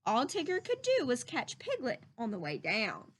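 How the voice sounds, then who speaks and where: A woman reading a children's story aloud in an animated, emphatic, teacherly way. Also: on the high side, normal speed, husky. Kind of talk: expressive read-aloud narration with teacherly questions to listeners.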